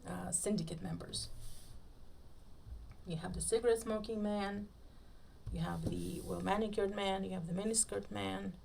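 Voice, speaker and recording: A woman with a crackly, fry-edged voice at an everyday pitch reads a story aloud with theatrical flair. She is playful and slips in joking asides like a podcast host. There is slight noise behind her. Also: fairly even intonation, moderate pace, long pauses, darkish tone. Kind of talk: dramatic live reading of fan fiction.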